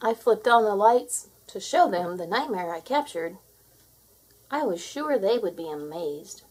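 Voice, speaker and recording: A woman reads a picture book aloud for children. Her pitch swings widely as she tells the story with drama, and her voice has a husky, unpolished edge. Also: lively intonation, measured pace, a little mellow, low-quality recording. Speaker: storybook reader. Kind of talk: expressive children's read-aloud.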